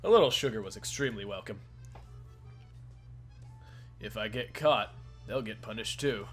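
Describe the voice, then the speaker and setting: A man's voice: lowish voice, normal speed, a bit bright, soft background music. A man hosting a let's play, reading a visual novel's narration aloud and joking profanely with friends. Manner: laughs often, casual and profane between lines.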